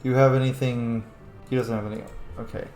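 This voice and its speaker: A man's voice: mid-range voice, little pitch movement, a little fast, a little mellow, faint music behind. A man giving casual, informal let's-play commentary, thinking aloud as he plays a video game. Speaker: video game player, streamer. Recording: roomy echo, faint background noise.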